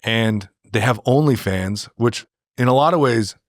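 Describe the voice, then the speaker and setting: A man's voice: mid-range voice, unhurried, no echo, studio quality. An American man chatting casually as a podcast co-host, rambling through opinions and anecdotes and acting out imagined remarks. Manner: rambling, filler-heavy, acts out imagined remarks.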